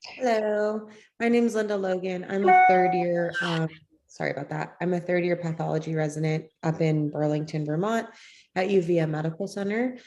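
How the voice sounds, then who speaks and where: A woman's voice: lowish voice, fairly even intonation, normal speed, darkish tone, a little hiss or hum. A young woman from Utah, a pathology resident, introducing herself chattily with many fillers on a video call. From Utah, USA. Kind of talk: casual self-introduction.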